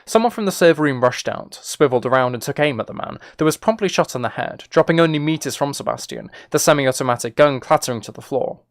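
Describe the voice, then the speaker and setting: A man's voice: fairly high pitch, lively intonation, fast, hardly any pauses, dry recording, studio quality. A man giving a dramatic scripted audiobook-style narration as an author reading a story. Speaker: author and streamer reading own book.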